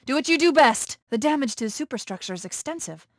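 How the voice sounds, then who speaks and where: A woman voicing a quick-witted female military AI companion in a video game, crisp, analytical and commanding, calm but urgent. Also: sits high, no room sound, studio quality.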